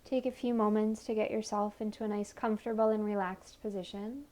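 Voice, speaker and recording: A woman leading a guided meditation in a soothing, gentle manner, offering unhurried invitations. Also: mid-range voice, fairly even intonation. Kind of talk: guided meditation, body scan narration.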